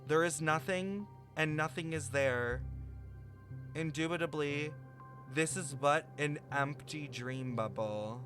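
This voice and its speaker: A man with a fairly high voice streams a story game. He reads text aloud and reacts as he goes, animated and puzzled, with music playing behind him. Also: slow pace.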